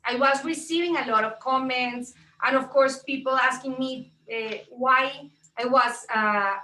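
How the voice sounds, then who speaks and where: Bright high Colombian woman on a call. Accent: Colombian Spanish. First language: Spanish. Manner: earnest, with many fillers and restarts. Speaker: tech entrepreneur and speaker. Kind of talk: speech at a virtual event. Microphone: video call. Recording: echoey, distant laptop mic on a video call.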